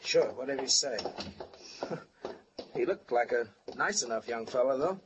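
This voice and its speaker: A man's voice: mid-range voice, animated, measured pace, lightly acted. An actor playing an obliging, slightly flustered phone repairman in a 1940s radio drama, with a folksy, casual manner. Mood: accommodating. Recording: slightly noisy old radio broadcast.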